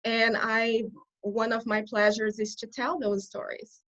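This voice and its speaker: A woman's voice: on the high side, flat delivery, measured pace, fairly bright tone. Earnest Brazilian woman with a dull lo-fi sound. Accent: Brazilian Portuguese. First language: Portuguese. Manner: earnest, restarts phrases while thinking. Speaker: guest. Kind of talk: conversational interview.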